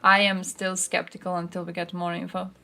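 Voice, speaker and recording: A woman's voice: on the low side, monotone, brisk, gravelly, sharp tone. A woman from Croatia, chatting casually and informally as a livestream host, with some swearing. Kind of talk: casual livestream chat, reacting to chat and posts.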